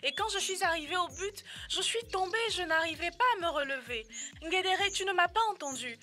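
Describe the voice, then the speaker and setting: Very high, bright cartoon girl. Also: fairly quick. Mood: earnest. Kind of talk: children's educational cartoon dubbing.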